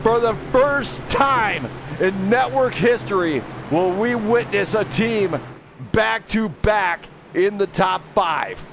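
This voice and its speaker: A man calling a live sim race play-by-play, excitable and shouting driver names. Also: sits high, takes it slowly, gravelly. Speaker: sim racing commentator. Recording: racing game engine noise behind. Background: car engine roar from the game.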